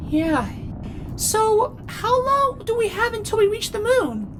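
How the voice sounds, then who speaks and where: An actress playing an excitable, carefree young space traveler in a cartoon, with an eager, playful manner. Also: female voice, very high voice, takes it slowly, noisy background, heavily acted.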